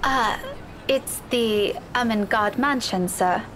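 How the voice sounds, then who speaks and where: A studious young woman with a fairly high voice. She speaks deferentially and with slight hesitation, as a visual novel game character, over a faintly noisy recording. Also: takes it slowly, fairly bright tone. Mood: hesitant, polite. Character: respectful, studious young woman, former student of professor. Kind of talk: video game voice acting.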